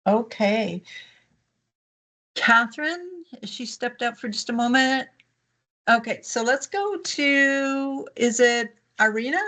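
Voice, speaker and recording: A woman's voice: fairly high pitch, lively intonation, slow, bright, forceful tone. A woman instructor leading a group video call, encouraging each student and moving things along. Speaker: course instructor.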